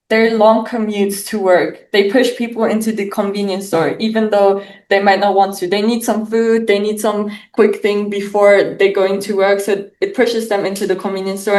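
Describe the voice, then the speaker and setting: A woman's voice: mid-range voice, darkish tone, far from the mic. German teen girl presenting, echoey room. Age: teens. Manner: casual, lots of "like" fillers. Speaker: student presenter. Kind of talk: class presentation.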